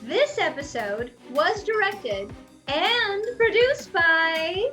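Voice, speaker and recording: A woman's voice: extremely high pitch, lively intonation, slow pace, over music. A woman show host giving a casual sign-off announcement.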